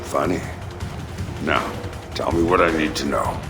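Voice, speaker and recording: Grim, deep-voiced covert operative. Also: male voice, measured pace. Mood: cold menace. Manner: terse, menacing, deadpan. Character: grim, gruff male covert operative, cold interrogator. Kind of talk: video game cutscene acting. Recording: music behind the voice.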